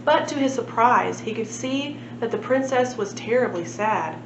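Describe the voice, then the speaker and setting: A woman's voice: a little high, animated, moderate pace. A woman reading a children's storybook aloud as a library storytime narrator. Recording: echoey room, muffled, clear background noise.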